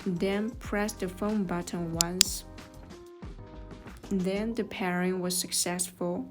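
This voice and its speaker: A woman reading scripted step-by-step instructions as a tutorial narrator, in a measured, neutral manner. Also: lowish voice, steady tone. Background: music.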